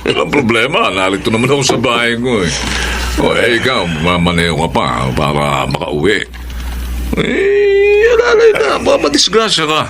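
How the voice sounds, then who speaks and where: An actor playing a tipsy, callous Filipino drinking buddy in a radio drama. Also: male voice, mid-range voice, very animated, moderate pace. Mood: boozy, mocking. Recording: clearly noisy recording.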